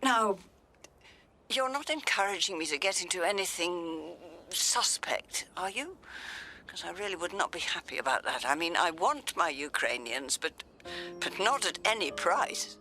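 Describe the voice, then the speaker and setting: An actress playing a fussy, genteel older widow and retired teacher who gets flustered and stammers, in a radio play. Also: female voice, lowish voice, big pitch swings, unhurried, pushed and bright, soft background music, full character voice.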